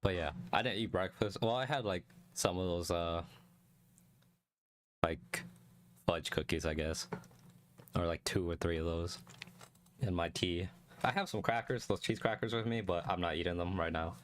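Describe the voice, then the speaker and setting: A young man streaming a casual solo chat, calm and low-energy, talking close to the mic and rambling with many restarts. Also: moderate pace, pauses often, slight background noise. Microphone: close desk mic.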